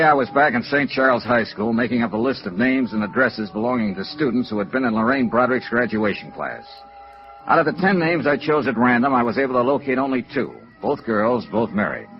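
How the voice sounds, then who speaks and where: Man playing old radio noir detective, wry and businesslike, lots of pitch swing, muffled vintage recording. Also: expressive, fairly quick, faint music behind, lightly acted. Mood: wry narration. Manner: clipped, matter-of-fact, dryly wry. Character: wry, businesslike male freelance insurance investigator. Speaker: lead actor and narrator of radio detective drama. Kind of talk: 1950s radio noir acting, first-person narration.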